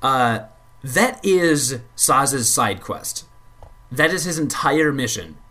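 A man in the middle of the usual pitch range talks through a game in relaxed podcast chat. He rambles and stumbles into repeated words, and swears when something annoys him. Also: slow pace. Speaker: podcast co-host. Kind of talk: casual gaming chat.

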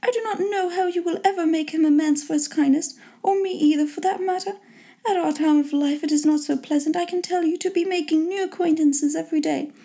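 Woman playing a shrill, fretful, excitable storybook mother. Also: fairly quick. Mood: delighted gushing. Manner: shrill, complaining, gushing, exclamatory. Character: fretful, excitable middle-aged mother with frayed nerves. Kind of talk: storybook character reading.

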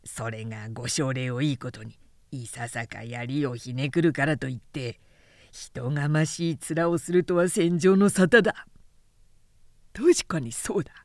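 A woman reader puts on a young, arrogant samurai man in a period storybook. The voice is dropped low, haughty and contemptuous. The phrasing is old-fashioned warrior talk, recorded dry. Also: steady tone, a little slow, darkish tone, no echo, close to an everyday voice. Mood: haughty contempt. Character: arrogant, sneering young high-born samurai drinking with comrades. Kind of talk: literary period-story reading.

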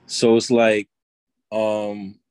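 Young man, New York accent, lowish voice, dry sound, relaxed rapper talk. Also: extremely slow, smooth, dry recording. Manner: laid-back, fills with "you know what I'm saying". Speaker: rapper guest. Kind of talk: casual interview talk.

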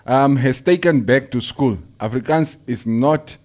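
A man's voice: mid-range voice, unhurried, a little mellow. A man in casual conversation, arguing his point insistently, switching between English and Afrikaans and laughing easily. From Namibia.

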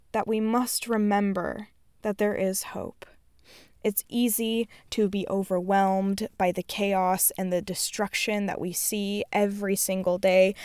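A woman in her twenties with a smooth, clear voice at an average pitch. She reads reflectively, earnest and measured, in a dry, close recording. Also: a little mellow, dry recording. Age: 20s. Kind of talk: scripted personal reflection and reading.

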